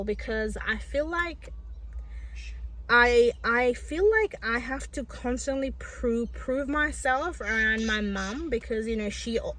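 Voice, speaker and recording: Slow-talking woman who stutters. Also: fairly high pitch, a bit bright, rough recording. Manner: stutters, with repeated sounds and restarts; earnest and reflective, many fillers. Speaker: vlogger. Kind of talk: personal vlog monologue.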